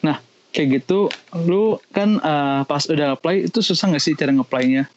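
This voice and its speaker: A man's voice: a little high, moderate pace, lo-fi sound, a little hiss or hum. A man hosting a podcast, talking in casual slang and prompting his guest with short questions. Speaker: podcast host. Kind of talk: casual chat.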